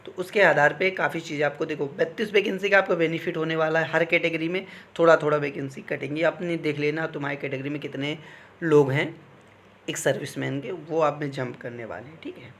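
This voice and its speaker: A man with a slightly high voice talks like a coaching teacher. He explains things informally and instructively, pausing to check in with his listeners. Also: steady tone, a bit bright. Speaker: exam coaching teacher advising candidates. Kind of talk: informal explanatory talk on exam cut-offs.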